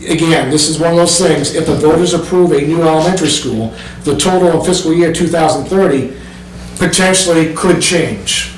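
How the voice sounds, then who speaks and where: Brief: male presenter at town meeting, slightly high voice, distant mic in a very echoey room with background noise. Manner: explanatory, walks listeners through pages, frequent ums. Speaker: planning board member presenting.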